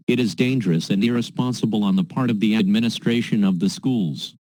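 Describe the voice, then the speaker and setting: A man reads a script in a measured, grave voice. His tone is low and mellow. The sound is dry and close, with no room around it. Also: no echo, rough recording. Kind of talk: scripted narration.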